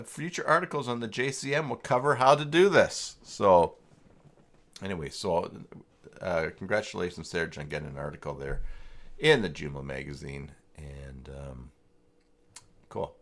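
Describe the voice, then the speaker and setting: A man hosting a casual live software tutorial, talking informally and haltingly as he thinks aloud while chatting with viewers. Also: medium pitch. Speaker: livestream host demonstrating website tools.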